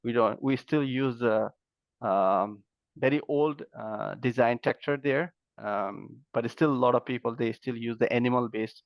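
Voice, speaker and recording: A man in his thirties from Nepal, explaining his research as a guest in a podcast interview, halting and full of fillers. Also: mid-range voice, no room sound. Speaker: guest, agronomy professor. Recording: dry, dull lo-fi sound.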